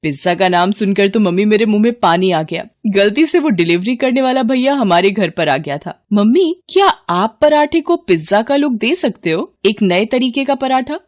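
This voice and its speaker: A woman voicing an eager, encouraging college-age daughter in an animated moral story, with a coaxing, pleading manner. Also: medium pitch, moderate pace, few pauses, close to an everyday voice. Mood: excited. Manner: pleading, bright-spirited, coaxing.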